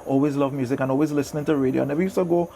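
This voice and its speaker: A man's voice: mid-range voice, steady tone, rapid-fire, mellow, a little hiss or hum. A middle-aged man with a Trinidadian accent reminiscing casually as an interview guest, in loose, informal phrasing full of affirmations. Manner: informal, peppered with "yeah man" and "you know".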